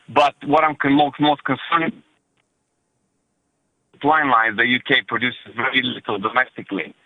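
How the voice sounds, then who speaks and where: A man's voice: mid-range voice, no echo. Young man on a phone line. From Bulgaria. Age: 20s. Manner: earnest, halting, with many fillers. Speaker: caller. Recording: dull phone line, bone dry.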